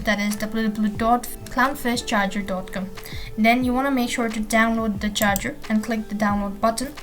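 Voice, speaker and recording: A woman hosting a software tutorial, giving step-by-step screencast narration in an instructional way with stock phrasing. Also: on the high side. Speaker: tutorial host. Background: background music.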